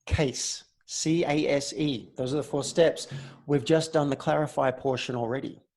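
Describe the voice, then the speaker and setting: A man speaking as a podcast host and coach, explaining things in a measured, conversational way. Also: a little high, steady tone, darkish tone. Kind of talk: conversational coaching and show introduction.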